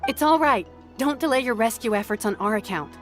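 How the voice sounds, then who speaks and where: A woman's voice: fairly high pitch, animated, a little fast, faint music behind, natural voice. A woman playing a worried, dutiful young supply carrier in a video game, earnest and weary. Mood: reassuring. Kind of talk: English video game voice acting.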